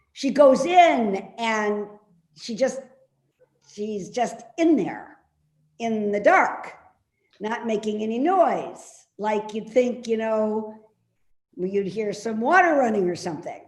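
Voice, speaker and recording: A woman in a play reading, playing a suspicious, complaining elderly mother who nags indignantly. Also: sits high. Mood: aggrieved. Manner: nagging, indignant, slow and insistent. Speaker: actor in a readers theater play. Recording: roomy, echoey remote reading.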